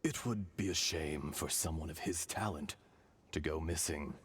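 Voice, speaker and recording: A man voicing an ancient, weary dragon in human form for a video game, grave, brooding and breathy. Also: a bass voice, normal speed, full character voice. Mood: solemn, resigned. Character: ancient dragon in a man's body, solemn and weary. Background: music behind the voice.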